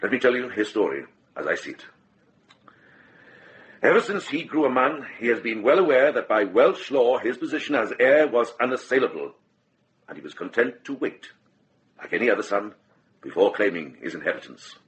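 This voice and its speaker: An actor playing a shrewd, compassionate middle-aged monk in a radio drama, speaking with grave, measured deliberation. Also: male voice, mid-range voice, big pitch swings, lightly acted, rough recording. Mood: grave, accusing.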